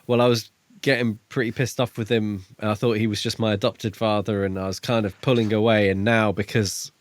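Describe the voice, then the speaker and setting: A man with a fairly low voice, recorded dry and close. He chats casually as a podcast co-host, feeling his way thoughtfully through each point with pauses. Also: flat delivery, no echo. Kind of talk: casual book discussion chat.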